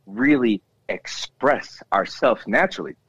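Impassioned man with a bass voice. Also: animated, measured pace, creaky, fairly bright tone, husky, no room sound. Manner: impassioned, repeats himself when cutting in. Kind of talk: casual discussion. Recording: dull, dry lo-fi sound.

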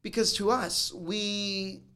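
A man with a notably high voice, talking in a loose, casual discussion. He is animated and keeps acting out little quoted exchanges as he makes his points. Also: takes it slowly, clean tone. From United States.

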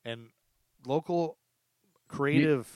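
A man's voice: fairly high pitch, very slow, a little mellow, smooth, dry recording. Casual, chummy middle-aged man hosting, close dry sound. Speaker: podcast host. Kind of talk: casual chat with guests.

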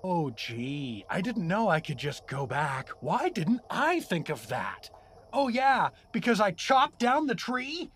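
Goofy sarcastic dad hero, voice jumps all over, flustered, audio drama comedy. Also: male voice, high-pitched, big pitch swings, takes it slowly, a bit bright, a little hiss or hum, heavily acted. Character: dopey, indignant everyman dad, a wisecracking prisoner.

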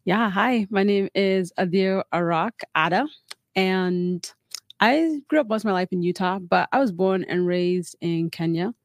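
A woman's voice: mid-range voice, unhurried, no echo. Young woman telling her story, dry mic. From South Sudan. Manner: relaxed, storytelling, frequent "like" fillers. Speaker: guest. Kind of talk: casual interview chat.

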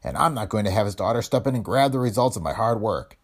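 A man's voice: fairly low pitch, very animated, fairly quick, slightly rough, heavily acted. A man voicing a cold, threatening businessman in his fifties, speaking sharply and mockingly.

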